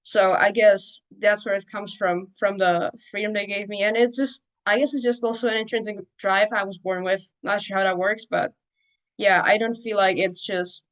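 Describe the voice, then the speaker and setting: A woman's voice: mid-range voice, steady tone, normal speed. A young woman, a native Czech speaker, talking casually as a podcast guest, loose and full of fillers and restarts. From Czech Republic. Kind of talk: casual interview talk.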